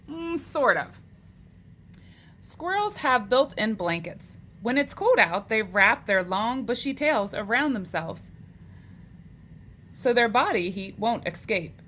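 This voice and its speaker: A woman's voice: fairly high pitch, fairly bright tone. A woman reading a children's book aloud, speaking to young listeners in a question-and-answer manner, on a noisy recording.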